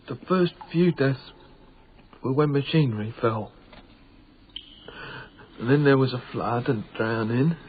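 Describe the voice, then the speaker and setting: A man's voice: medium pitch, breathy edge. Meek Victorian night watchman, tinny sound. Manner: formal, deferential, hesitant. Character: frightened, pious Victorian night watchman, deferential working man. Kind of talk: radio drama acting. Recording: tinny band-limited radio broadcast, noisy background.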